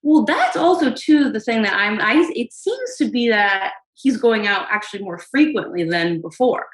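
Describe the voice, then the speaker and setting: A woman's voice: on the high side, sing-song, moderate pace. Hesitant young woman, echoey call. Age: 20s. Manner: hesitant, lots of fillers, explaining her side. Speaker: caller. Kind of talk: casual conversation.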